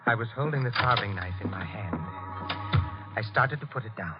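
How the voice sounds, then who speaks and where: Radio thriller lead, male actor: unhinged husband, brooding and commanding, highly dynamic delivery, dull old recording. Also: expressive, over loud music, close to an everyday voice. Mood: menacing, haunted. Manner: brooding, halting, tense and commanding. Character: tormented, unhinged husband telling his own dark story.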